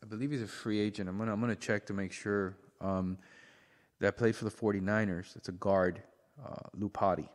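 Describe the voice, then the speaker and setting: A man co-hosting a sports podcast, talking casually in a halting, explanatory way. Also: lowish voice, steady tone. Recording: echoey room with long reverb.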